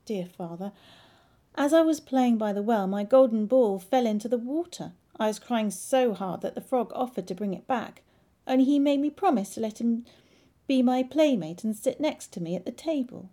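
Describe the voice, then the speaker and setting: A woman's voice: on the high side, lively intonation, moderate pace, warm tone, pitched above the performer's own voice, natural voice. A woman doing a frightened young princess. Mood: pleading.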